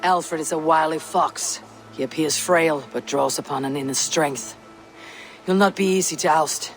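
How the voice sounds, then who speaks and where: An actress playing a stoic, resolute young Viking war leader with a grave, measured delivery, in a dramatic game style. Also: female voice, low-pitched, soft background music. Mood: resolute, tactical. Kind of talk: dramatic video game acting.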